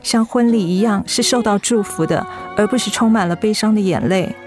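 An actress playing a devoted, tender and anxious young adult daughter in a Mandarin radio drama. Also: female voice, medium pitch, fairly quick, music in the background, close to an everyday voice. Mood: composed, grateful.

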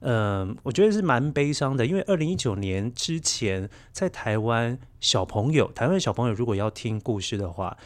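A man in his forties with a Taiwanese Mandarin accent, speaking thoughtfully as an interview guest and explaining with gentle emphasis. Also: mid-range voice, expressive, normal speed, hardly any pauses. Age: 40s. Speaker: guest, children's story podcaster. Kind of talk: reflective interview talk.

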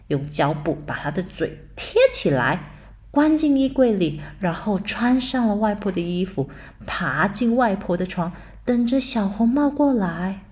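A woman narrating a bedtime story for children in a soft, soothing way. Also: mid-range voice. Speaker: storyteller mother.

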